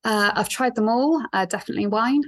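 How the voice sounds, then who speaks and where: A woman's voice: fairly high pitch, fairly quick, no room sound, clean studio sound. A woman from Bristol with a mild West Country accent, chatting as a podcast guest and laughing often as she tells stories. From Bristol, England. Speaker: podcast guest, marketing manager. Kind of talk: casual interview chat.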